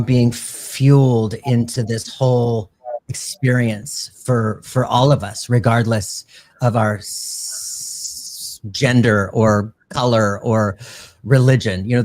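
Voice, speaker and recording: A man's voice: mid-range voice, a little mellow, no echo. Reflective man with a dry, close sound. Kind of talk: casual reflective chat.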